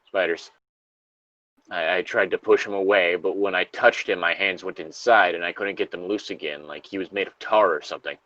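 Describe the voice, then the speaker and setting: A man's voice: sits low, steady tone, fairly quick, bright, forceful tone, no room sound, muffled recording. A man co-hosting a story-reading show, switching between informal, sweary banter and reading horror stories aloud. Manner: informal, profane.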